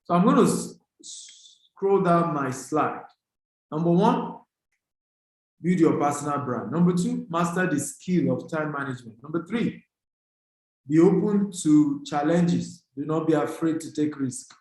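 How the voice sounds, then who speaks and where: A Nigerian man speaks in a strongly echoing room, his voice pitched a little high. He delivers a motivational business seminar to people online, urging them on with emphatic, repeated key phrases. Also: lively intonation, a little slow, darkish tone. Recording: very echoey, reverberant room.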